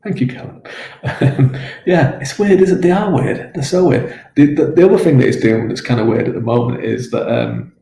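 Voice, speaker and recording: Man, author live stream, gravelly, mellow, rambling with lots of ums, roomy echo. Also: mid-range voice, moderate pace. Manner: rambling and hesitant with many fillers. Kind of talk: casual live-stream chat answering comments. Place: home, with his dog nearby. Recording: roomy echo with faint ambience.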